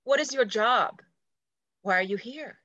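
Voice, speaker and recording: A woman's voice: fairly high pitch, a little slow, fairly bright tone, no room sound, dull audio. A woman playing a prim, territorial junior executive assistant in a stage play reading, with a precise, officious, matter-of-fact delivery. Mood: curt, officious. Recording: dry video call.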